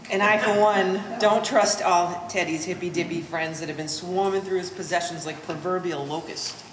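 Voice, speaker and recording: A woman's voice: fairly low pitch, fairly even intonation, normal speed, close to an everyday voice. A woman playing a suspicious, haughty grown daughter with pompous, wordy sarcasm in a live one-act stage play. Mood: scornful. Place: art gallery stage reading. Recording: roomy echo, clear background noise.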